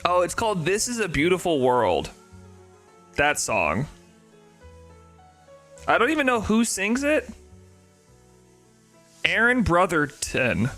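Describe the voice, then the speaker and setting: A man with a nasal voice chatting casually on a live stream, rambling and excitable, with faint music behind him. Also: sits high, takes it slowly, pauses often. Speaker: shiny-hunting live streamer.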